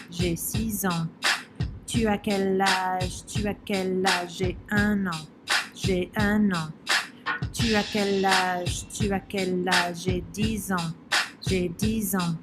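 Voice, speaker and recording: Woman singing a children's song over a beat. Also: mid-range voice. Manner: sung call and answer, each line repeated.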